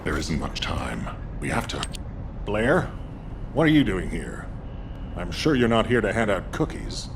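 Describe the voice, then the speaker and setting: Low, stern military commander. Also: male voice, big pitch swings, a little slow, long pauses, exaggerated voice. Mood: dry, stern. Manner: authoritative, dry. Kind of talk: video game voice acting. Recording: noisy rumble behind.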